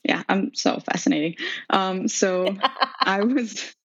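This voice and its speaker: A woman's voice: medium pitch, takes it slowly, breathy edge, no echo. A woman in her thirties from Serbia, telling her story as a podcast guest in a chatty way, with many ums and laughs. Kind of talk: conversational storytelling. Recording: dry, dull lo-fi sound.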